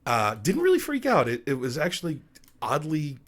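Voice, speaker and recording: A man's voice: fairly high pitch, normal speed. A man from Long Island, New York, a DJ guest telling personal stories in a casual interview and acting out the lines of people in them. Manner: animated storyteller who acts out quoted dialogue. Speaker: DJ and podcast guest.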